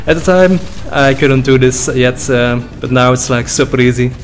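A man's voice: medium pitch, moderate pace, soft background music. A young man with a Dutch-speaking Belgian accent, joking and laughing while reacting to his old videos at a desk mic in a small room. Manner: laughing, joking, loose and profane. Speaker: YouTuber. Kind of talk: reaction commentary. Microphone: desk boom mic with headphones.

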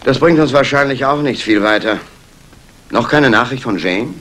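An actor playing a dry, wry police inspector who probes politely in a 1960s German radio drama. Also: male voice, medium pitch, moderate pace, a bit bright, slight background noise, natural voice. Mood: weary, sardonic.

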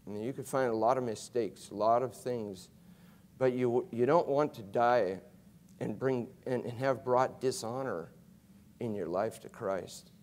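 A middle-aged man preaching a chapel sermon from a pulpit, going from soft and low to loud and emphatic, often restarting his words. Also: a little slow. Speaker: guest preacher. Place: college chapel stage behind a pulpit. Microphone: pulpit mic, wide fixed camera.

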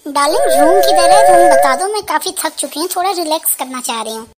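Very squeaky young city bride voiced by a woman. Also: expressive, quick pace, a little mellow, soft background music. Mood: demanding. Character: young city bride, clueless, sweetly demanding. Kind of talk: amateur cartoon comedy dialogue.

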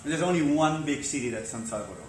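A man interviewing cruisers in a chatty, agreeable, somewhat halting way. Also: fairly high pitch, lively intonation. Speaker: interviewer, sailing channel host. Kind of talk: casual interview questions. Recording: echoey veranda with background noise.

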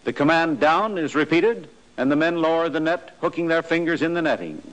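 Guy reading a 1940s army training film, kinda high voice, steady instructional tone. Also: low-quality recording. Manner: measured, instructional. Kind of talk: scripted documentary narration.

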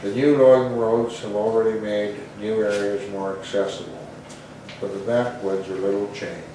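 An older man in his seventies reads history aloud in a lowish voice. He sits well away from the microphone in a very echoey room, with clear noise behind him. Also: flat delivery, moderate pace, dark tone. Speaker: reader of local history. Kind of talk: reading aloud from a history book. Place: small wood-panelled room, indoors. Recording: roomy, distant mic, noticeable background noise.

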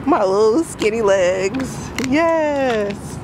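A woman's voice: mid-range voice, animated, a little fast. A young American woman chatting casually with a friend as she vlogs on a handheld camera. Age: young adult. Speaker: vlogger. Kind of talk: casual vlog chat with a friend. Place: outdoors on a city sidewalk and in a car back seat. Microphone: handheld camera at arm's length. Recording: loud street and car noise. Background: traffic and vehicle ambience.

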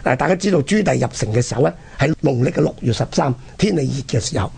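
A man with a Hong Kong Cantonese accent and a husky, gravelly voice, telling history conversationally and dramatically as a radio presenter. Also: fairly high pitch, expressive, fast, darkish tone. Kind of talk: animated conversational history storytelling.

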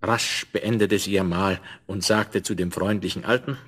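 A man narrating a scripted fairy tale in a measured, expressive storytelling manner. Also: low voice, slightly rough. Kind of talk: scripted fairy-tale narration, 1970s German radio play.

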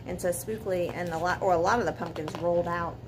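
A woman in her forties reads a children's bedtime story aloud with dramatic emphasis, pausing to put questions to young listeners. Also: lowish voice, fairly even intonation. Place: living room at home beside a fireplace. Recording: clear background noise, room ambience.

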